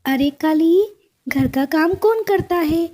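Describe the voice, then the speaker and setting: A woman's voice: very high-pitched, slow, dark tone. A woman voicing a nosy, gossipy female bird neighbour in a cartoon, full of questions. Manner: inquisitive. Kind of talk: cartoon story dialogue.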